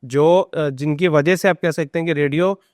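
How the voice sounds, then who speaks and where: A man's voice: a little high, steady tone, normal speed, dry recording, studio quality. A man with an Indian accent hosts a podcast, asking questions in a conversational interviewing style.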